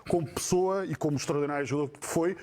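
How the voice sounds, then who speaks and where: A man's voice: fairly high pitch, fast, a little mellow. A man with a European Portuguese accent giving his opinion in a football panel debate, emphatic and repeating phrases for stress. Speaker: football pundit.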